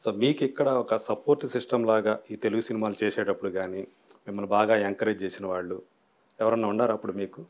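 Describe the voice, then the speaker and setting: A man's voice: on the low side, fast talker, a little mellow. A man interviewing someone casually, probing with follow-up questions and short acknowledgements.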